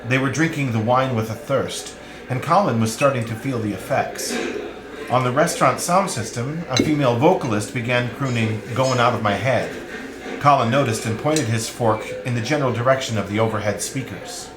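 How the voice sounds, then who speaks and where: A man's voice: mid-range voice, normal speed. A man narrating a novel in a scripted, literary reading. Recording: roomy live reading, crowd sounds behind.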